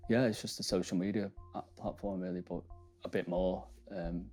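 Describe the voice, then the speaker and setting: Deep flat-voiced man. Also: soft background music. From Manchester. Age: 30s. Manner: hesitant, halting explanations. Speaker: interviewee.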